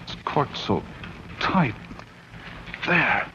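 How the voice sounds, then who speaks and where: Intense storyteller, swooping pitch. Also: male voice, lively intonation. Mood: curious, stubborn. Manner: dramatic, intense, with suspenseful pauses. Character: excitable, uneasy man narrating his own horror tale. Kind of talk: 1940s radio drama acting and narration. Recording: dull, slightly noisy 1940s radio recording.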